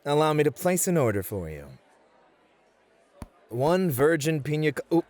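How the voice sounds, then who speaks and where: A man's voice: on the high side, very animated, moderate pace, darkish tone. A man playing a confident, charming bunny host at a club in a flirty ASMR roleplay, breezy and courteous with showy flourishes. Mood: courteous, playful.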